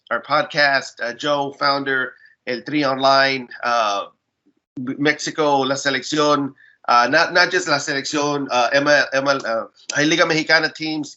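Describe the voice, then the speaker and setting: A man's voice: mid-range voice, bright, forceful tone, dull audio. A man in his late forties co-hosting a podcast, joking and teasing in casual chat. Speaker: podcast co-host.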